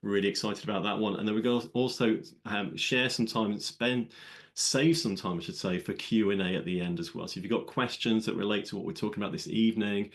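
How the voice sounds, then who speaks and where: A man's voice: on the low side, quick pace. A man hosting a live webinar in an upbeat, chatty, welcoming way. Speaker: webinar host.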